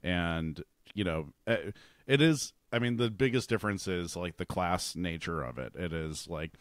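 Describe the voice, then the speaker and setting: A man's voice: low voice, moderate pace, dry recording, clean studio sound. A man co-hosting a podcast, chatting casually about television. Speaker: podcast co-host. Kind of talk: casual TV review chat.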